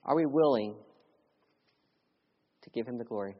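A young man from Philadelphia preaching a sermon as a missionary, mixing personal testimony with earnest appeals, his voice rising loud and urgent, then dropping to hushed lows. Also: normal speed, husky. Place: church sanctuary. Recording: dull audio with long church reverb.

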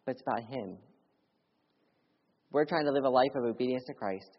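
Earnest preacher in a reverberant church. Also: male voice, normal speed. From Philadelphia. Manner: earnest, swings between loud urgency and quiet hushed lows. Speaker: missionary preacher. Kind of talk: sermon with personal testimony. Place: church sanctuary. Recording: dull audio with long church reverb.

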